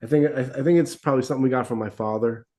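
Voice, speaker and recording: Guy on a podcast as a guest, hesitant and measured, medium voice. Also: medium pitch, dark tone, soft background music. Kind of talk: casual conversation.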